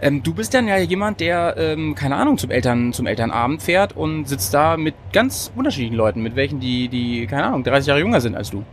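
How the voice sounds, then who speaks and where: A man's voice: on the high side, animated, a little fast, hardly any pauses, slight background noise. A man hosting a podcast, talking in a bubbly, rambling way with frequent laughs, recorded on a train. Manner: bubbly, giggly, rambling with fillers. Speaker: podcast host. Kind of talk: casual chat.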